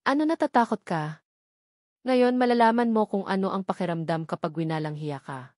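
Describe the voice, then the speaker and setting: A woman's voice: medium pitch, animated, moderate pace, a little mellow, no echo, studio quality, close to an everyday voice. Woman acting a spiteful, mocking avenger heroine. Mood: bitter. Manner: mocking, biting, boastful. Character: spiteful vengeful young woman, mocking and proud.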